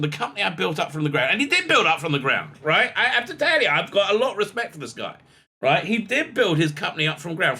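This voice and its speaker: A sick man with a raspy voice hosting his own commentary channel, speaking in a halting, blunt way with many fillers and restarts. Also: fairly high pitch, sharp tone. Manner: blunt and scornful. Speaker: online commentary channel host. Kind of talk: casual spoken commentary to camera.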